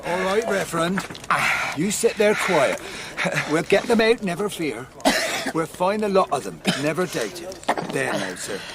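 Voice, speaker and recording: Commanding warden, somewhat high pitch, old-fashioned turns of phrase, bossy but comforting, radio drama. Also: male voice, expressive, measured pace, very noisy. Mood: firm soothing. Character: bossy church warden, stern but soothing.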